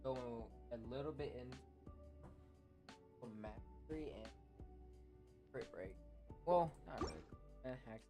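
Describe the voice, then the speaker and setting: A man's voice: medium pitch, a little slow, long pauses. A man casually commenting on his gameplay with laid-back, slangy remarks. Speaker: gaming streamer. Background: loud game music.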